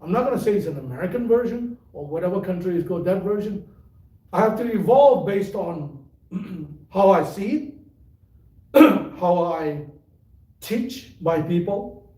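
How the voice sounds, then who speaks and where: A tai chi teacher with a mixed Malaysian and British accent, giving an unhurried, rambling livestream talk full of fillers. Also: male voice, sits high, expressive, dark tone. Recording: echoey room, voice from far off.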